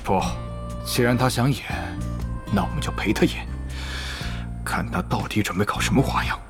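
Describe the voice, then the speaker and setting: 一名男演员在广播剧里饰演一位警惕、精于算计、说话有威严的网游公会会长。. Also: male voice, mid-range voice, normal speed, over music, close to an everyday voice. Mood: suspicious, scheming. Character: wary, calculating male online game guild leader. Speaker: voice actor. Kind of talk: Chinese audio drama acting.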